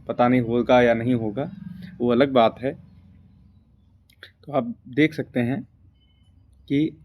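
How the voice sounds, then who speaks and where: A man's voice: mid-range voice, a little mellow. A young man gives informal explanatory commentary as a video presenter, repeating his points for emphasis. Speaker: exam-update video presenter.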